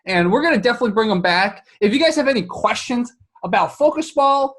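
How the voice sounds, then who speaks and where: A man from Southwest China hosting an interview, chatty and quick to laugh, with many fillers and restarts. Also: very high voice, expressive, fairly bright tone. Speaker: podcast host. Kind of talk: casual interview chat.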